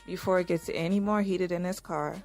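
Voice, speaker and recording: A woman's voice: fairly low pitch, fairly even intonation, fairly quick, a little mellow, close to an everyday voice. Actress as a caring young woman, music behind. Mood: playful. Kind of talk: audio drama dialogue.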